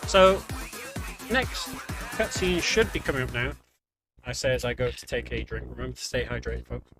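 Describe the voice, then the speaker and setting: A man's voice: medium pitch, lively intonation, normal speed, gravelly. A man giving casual, slightly hesitant gameplay commentary as a speedrunner, often correcting himself as he goes. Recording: game music behind voice. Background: game music and sounds.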